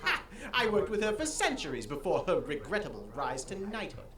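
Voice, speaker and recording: Woman as an exasperated, wildly animated fae. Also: lowish voice, a little fast, crowd noise. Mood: exasperated, alarmed. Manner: dramatic, wry, sweary. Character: exasperated, panicky centuries-old fae woman. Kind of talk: audio drama acting.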